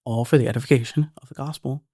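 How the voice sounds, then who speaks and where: A man's voice: medium pitch, fairly even intonation, fast, mellow, breathy edge, no room sound. Earnest man talking, dry close sound. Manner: earnest, repeats and restarts words often. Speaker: filmmaker guest. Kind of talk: casual podcast conversation.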